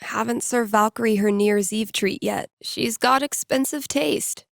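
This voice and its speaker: A woman's voice: on the high side, measured pace, gravelly, no echo. An actress playing a wry, playful young woman DJ in a video game, musing to herself in a dry, conversational way. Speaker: video game character, radio DJ and record store worker. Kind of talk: video game voice lines, casual inner monologue.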